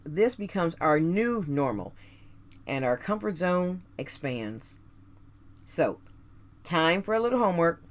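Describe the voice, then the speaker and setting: A woman hosting a radio show, delivering a scripted motivational monologue straight to listeners in deliberate, emphatic phrases with rhetorical questions. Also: low voice.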